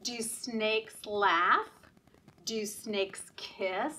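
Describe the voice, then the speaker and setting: A woman leading story time for young children in a sing-song, deliberate, encouraging way, often asking the children questions. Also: medium pitch, sharp tone, recorded from a distance, poor audio.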